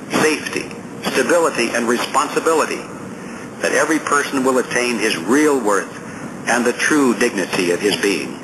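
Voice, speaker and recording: A man reads a political essay aloud as an audiobook narrator, in a deliberate, emphatic, oratorical manner. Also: medium pitch. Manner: deliberate, emphatic oratorical reading with rhetorical questions and lists. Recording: clearly noisy audiobook recording.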